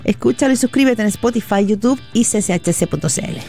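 A woman's voice: medium pitch, fast, mellow, faint music behind. A woman with a Chilean accent, speaking conversationally as a radio interview host.

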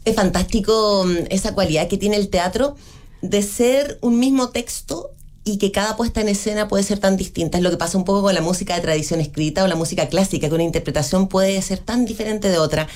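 A woman hosting an interview, asking measured, well-prepared questions that cite facts. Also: mid-range voice, a little mellow. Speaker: interviewer, host.

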